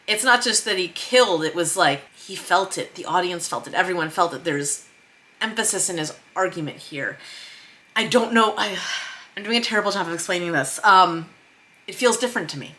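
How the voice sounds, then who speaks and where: Bright-voiced woman giving an informal explainer. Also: fairly low pitch, lively intonation, moderate pace. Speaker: screenwriter and director teaching script revision. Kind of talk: informal explainer vlog about script revision.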